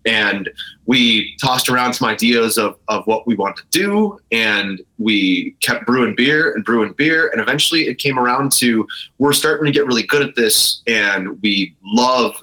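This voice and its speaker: Bright, forceful male interview guest. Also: medium pitch, moderate pace, low-quality recording. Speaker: interview guest, brewery co-founder. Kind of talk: casual conversational storytelling.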